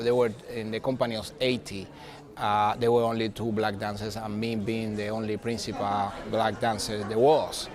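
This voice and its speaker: A man in his forties from Cuba, with a Cuban accent and Spanish as his first language, giving thoughtful answers as a ballet company director in a TV news interview, often restarting and searching for words. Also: mid-range voice.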